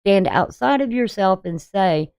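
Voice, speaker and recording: A woman with a deep voice giving a casual daily astrology reading, talking bluntly and conversationally with frequent "you know". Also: animated, unhurried, a little mellow, clean tone, dry recording. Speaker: astrology channel host.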